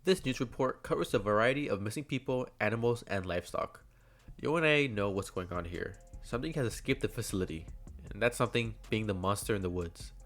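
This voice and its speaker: A man's voice: fairly low pitch, fairly quick, fairly bright tone. A man hosting a video, giving scripted analysis commentary. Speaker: YouTube host. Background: faint music.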